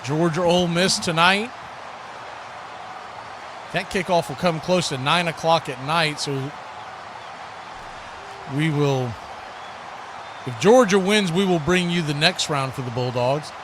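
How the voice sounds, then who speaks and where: An American man with a high-pitched voice commentates a football game live. He calls the action in short, clipped phrases, as the host of a play-by-play stream. Clear ambient noise sits behind his voice. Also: slow, long pauses. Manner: clipped present-tense calls.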